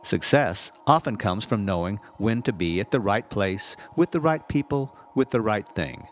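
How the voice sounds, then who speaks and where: Man reading spiritual narration with lively intonation. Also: on the low side, a little hiss or hum. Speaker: audiobook-style reader, reverend. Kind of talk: scripted spiritual narration, read aloud.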